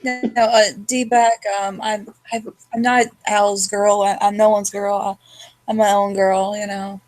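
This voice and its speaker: Young woman, mid pitch, slangy, casual swearing, group voice chat. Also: flat delivery, measured pace, darkish tone, no echo, poor audio. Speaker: chat participant.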